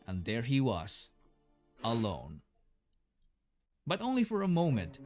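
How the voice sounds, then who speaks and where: A man narrating a scripted documentary story in a measured, storytelling way. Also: medium pitch. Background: music.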